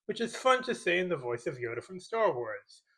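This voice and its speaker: A man's voice: high voice, big pitch swings, moderate pace, pushed and bright, slightly rough. A man delivering a scripted comedic rebuttal in a sarcastic, mock-serious way, as a YouTube commentator. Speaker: YouTube commentator parodying movie critique videos.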